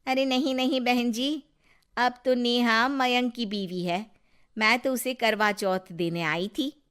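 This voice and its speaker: A woman's voice: fairly high pitch, steady tone, takes it slowly, fairly bright tone, smooth, voice pushed up. A woman playing a polite, earnest middle-aged mother of a bride in a Hindi moral story.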